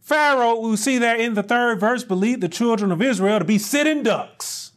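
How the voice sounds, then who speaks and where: A middle-aged male pastor preaching a sermon, speaking deliberately and rising to loud, emphatic peaks. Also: very high voice.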